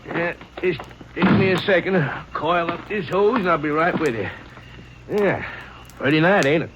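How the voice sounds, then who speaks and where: An actor playing a chatty rural gas station owner in a 1940s radio drama. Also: male voice, a little high, lively intonation, normal speed, close to an everyday voice. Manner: chatty, homespun. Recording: old radio recording with hiss.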